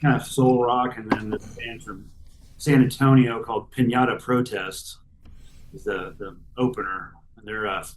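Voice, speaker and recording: A gravelly-voiced man in his forties talks at an ordinary middle pitch. He answers questions in an easygoing, rambling way, full of "uh" and "you know". He is heard from a hotel room through a video call. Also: normal speed, slight background noise, poor audio. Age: 40s. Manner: relaxed, rambling, with frequent fillers. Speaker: guest musician. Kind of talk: casual interview chat.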